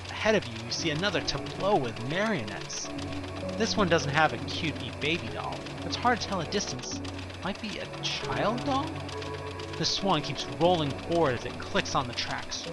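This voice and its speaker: A man reading scripted second-person horror narration in a suspenseful, expressive storytelling manner with deliberate pauses. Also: fairly high pitch. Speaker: narrator of an audio play. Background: music.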